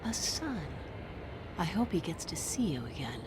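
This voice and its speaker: A menacing alien villainess from a sci-fi game, with a fairly low voice. She is cold, cruel and taunting, set against clearly noisy ambience. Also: big pitch swings, normal speed. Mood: cruelly taunting. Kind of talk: cinematic video game voice acting.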